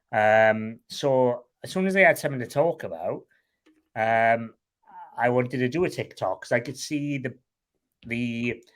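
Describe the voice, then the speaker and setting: A man with a Welsh accent, a guest talking candidly in an interview, frank and rambling, swearing casually. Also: medium pitch. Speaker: guest, online seller.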